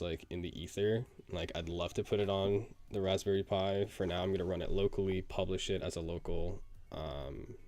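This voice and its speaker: A low-voiced man with a gravelly fry, a bit nasal as he gets over a cold. He chats casually while he codes live and thinks aloud, pausing often on fillers. Soft music plays faintly behind him. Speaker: live-streaming programmer. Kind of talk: casual live coding chat.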